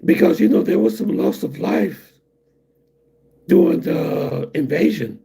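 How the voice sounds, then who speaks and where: A man's voice: sits high, sing-song, measured pace, gravelly, dark tone, breathy edge. A middle-aged man from the United States hosting a casual livestream and rambling loosely to his viewers. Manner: loose, rambling, laughs at his own jokes. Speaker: livestream host.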